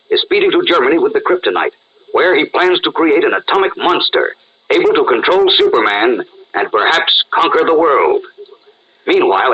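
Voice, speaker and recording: A man narrates an old-style radio serial with dramatic, scripted delivery. His voice is mid-pitched and husky, and the recording sounds dull and narrow.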